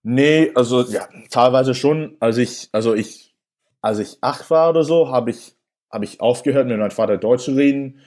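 A man's voice: mid-range voice, normal speed, a little mellow. A man in his twenties from New York with an American accent, speaking German as a second language in a casual interview, hesitant with many restarts and fillers. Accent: American English. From New York, USA. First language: English. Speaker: interview guest.

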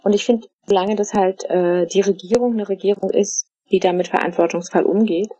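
A middle-aged woman from Germany, answering interview questions in a casual, thoughtful way, with many fillers and pauses. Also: mid-range voice, fairly even intonation, mellow, husky, dry recording. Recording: dull, dry lo-fi recording.

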